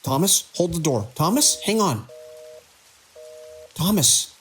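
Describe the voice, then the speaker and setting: A man playing a weary, conscientious hospital director in an audio drama, with a gravelly, husky voice. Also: on the high side, big pitch swings, slow, a bit bright, heavily acted. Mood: anxious, urgent. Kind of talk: audio drama acting, log dictation and urgent calls.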